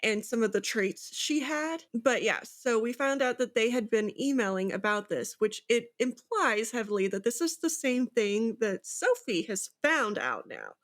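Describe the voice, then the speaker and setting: A woman's voice: on the high side, lively intonation, normal speed, few pauses. A woman co-hosting a casual book discussion, thinking aloud and often checking that the listener follows. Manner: speculative, thinking aloud with rhetorical check-ins. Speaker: podcast co-host.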